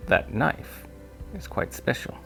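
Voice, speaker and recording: A man voicing a kindly old male shopkeeper in an audiobook, with courteous, old-fashioned, gently formal phrasing. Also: slow pace, faint music behind. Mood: storytelling, mysterious. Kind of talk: audiobook character voice.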